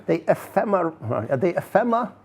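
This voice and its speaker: A man's voice: high voice, moderate pace, slight background noise. A man speaking informally as he gives a talk and takes questions, with a wry, rhetorical way of talking.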